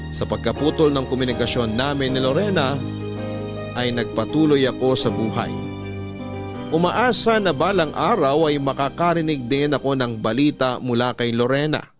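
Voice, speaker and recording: Guy narrating a radio drama, mid-pitched, sentimental storytelling, guitar and violin clearly behind him. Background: guitar and violin music.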